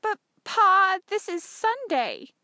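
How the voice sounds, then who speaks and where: Woman voicing an eager, high-pitched young girl. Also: animated, normal speed, a bit bright, no echo, studio quality. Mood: pleading, upset. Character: young farm girl, eager, pleading and emotional. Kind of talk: audiobook character voice.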